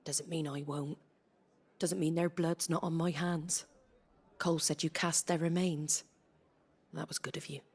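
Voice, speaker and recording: A woman's voice: deep voice, little pitch movement, a little slow, pauses often, breathy edge, full character voice. An actress playing a tough, blunt woman leader in a dramatic game cutscene. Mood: bitter, guilty. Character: tough, blunt tavern leader woman, guilt-ridden but defiant.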